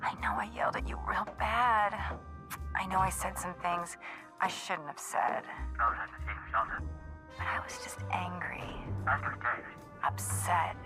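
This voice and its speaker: A woman playing a wheedling, sultry aspiring starlet in a noir-style game cutscene, coaxing with breathy asides. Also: lowish voice, very animated, slow pace, pauses often, in a whisper. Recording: music behind.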